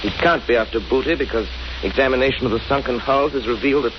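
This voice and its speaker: An actor playing a composed gentleman detective with measured, authoritative, formal delivery in an old-time radio drama. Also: mid-range voice, lightly acted. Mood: deductive, determined. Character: composed, deductive gentleman detective, grimly determined. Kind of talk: 1930s radio drama acting. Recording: muffled, noisy old radio recording.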